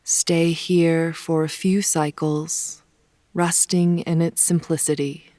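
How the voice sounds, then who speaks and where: A woman leading a guided yoga nidra meditation in a soft, soothing, unhurried manner, with phrase ends sometimes hushed. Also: sits low, little pitch movement, no echo, studio quality. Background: soft pink noise.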